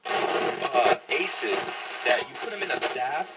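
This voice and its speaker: A man's voice: mid-range voice, animated, slow. A man talking casually about sports, heard through a radio speaker. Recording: very noisy broadcast from radio speaker.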